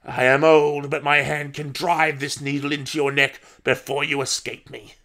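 A man's voice: a little high, little pitch movement, sharp tone. A man voicing an imperious old woman who taunts and threatens with cold control in an audiobook story. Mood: mocking, threatening. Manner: commanding, taunting, deliberate.

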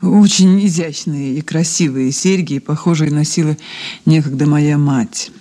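A woman's voice: low-pitched, fairly even intonation, measured pace, warm tone. An actress playing a refined, evasive society lady under questioning in a Soviet radio play, with genteel, sighing, hesitant speech. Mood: wistful, coquettish.

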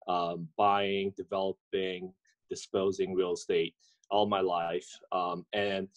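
A man in his forties answers interview questions over a video call. His voice sits a little low, and he talks in a halting way, with lots of fillers and restarts. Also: flat delivery. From Indonesia. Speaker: guest, coffee entrepreneur. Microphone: webcam or laptop mic on video call.

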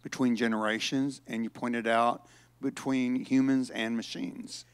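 A man's voice: mid-range voice, flat delivery, unhurried, smooth, dry recording. A man interviewing a guest on a conference stage, asking questions with a few restarts, speaking into a handheld mic. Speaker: interviewer, event co-founder.

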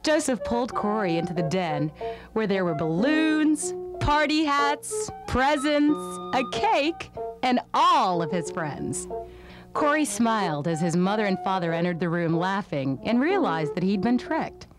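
A woman's voice: mid-range voice, animated, a little slow, over music. A woman reading scripted narration for a children's storybook. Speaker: storybook reader.

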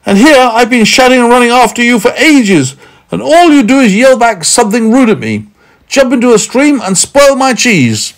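Man putting on a high, shouting, angry big man. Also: expressive, a little slow, vocal fry, full character voice, rough recording. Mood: shouting, indignant. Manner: forceful, indignant scolding. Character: angry big man, loudly scolding and impatient.

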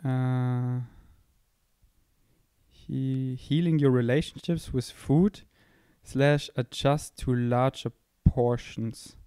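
A young man talks into a handheld microphone held close to his mouth, rambling from one thought to the next in a playful, self-mocking way. His pitch sits in the middle for a man, and the room sound is dry. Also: fairly even intonation, extremely slow, long pauses, dark tone, clear, resonant tone, no echo. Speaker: podcast host. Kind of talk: casual rambling monologue. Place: indoor room, seated on a couch.

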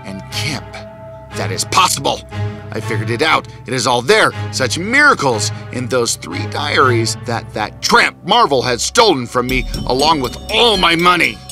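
A man's voice: medium pitch, big pitch swings, moderate pace, vocal fry, over music. Man acting an arrogant, swooping invisible scientist. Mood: driven, frustrated. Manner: theatrical, boastful, scornful of others. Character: arrogant invisible male scientist, gloating and restless. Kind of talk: dramatic first-person storytelling in a cartoon.

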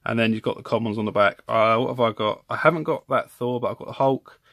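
A man's voice: medium pitch, steady tone, fast, a bit bright. A man casually reviewing a collectible figure at home, talking from behind the camera in a rambling, self-correcting way. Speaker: toy reviewer. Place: home room with toy shelves.

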